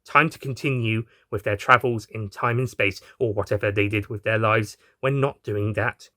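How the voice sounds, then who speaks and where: A man reading a scripted story aloud as an expressive narrator. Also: fairly low pitch, normal speed, dry recording, studio quality. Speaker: narrator and reader.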